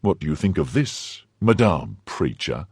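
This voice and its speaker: A man voicing a pompous, cowardly middle-aged shopkeeper in his own low, husky voice. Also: big pitch swings, a little slow, darkish tone, no echo, studio quality, exaggerated voice. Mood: smug. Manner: self-important, blustering. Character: pompous fifty-year-old mercer, cowardly and greedy.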